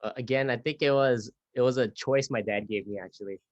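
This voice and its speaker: A man's voice: medium pitch, a little fast, no room sound. Thai man telling stories over dull call audio. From Thailand. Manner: relaxed storytelling. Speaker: guest musician. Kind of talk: casual interview answers.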